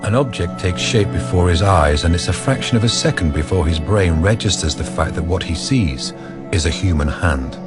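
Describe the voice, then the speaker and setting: A man narrating a radio drama as a measured, serious storyteller. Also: low voice, expressive. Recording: radio narration over clear music.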